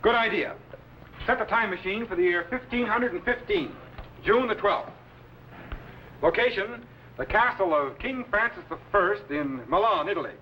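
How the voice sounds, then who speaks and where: An actor playing a commanding, scholarly time-machine captain in a crisp, authoritative 1950s science fiction serial style. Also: male voice, high voice, animated, moderate pace, a little hiss or hum. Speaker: captain and mentor. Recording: dull vintage broadcast with loud music.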